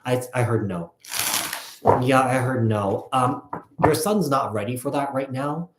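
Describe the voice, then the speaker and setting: A man giving a casual live tarot reading, thinking aloud in a halting, repetitive way. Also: mid-range voice, very noisy. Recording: echoey, roomy sound.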